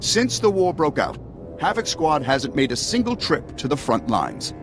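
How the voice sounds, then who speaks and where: An actor playing a scheming, self-righteous politician, speaking in a pompous, oratorical way in a video game. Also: male voice, on the high side, wildly swooping pitch, moderate pace. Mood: accusing, oratorical. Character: scheming, self-righteous senator, secretly a traitor, male. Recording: music behind the voice.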